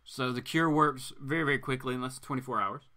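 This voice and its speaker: A man's voice: mid-range voice, steady tone, quick pace, bright, forceful tone. A man hosting a live show, casually reading comics aloud and commenting with mock-incredulous asides.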